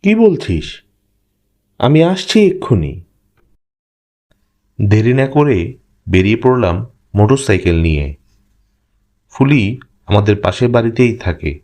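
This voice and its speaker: A man's voice: fairly low pitch, sing-song, slow pace, pauses often, a little mellow. A man reading scripted, dramatic first-person narration for a horror cartoon. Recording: clear music bed behind narration.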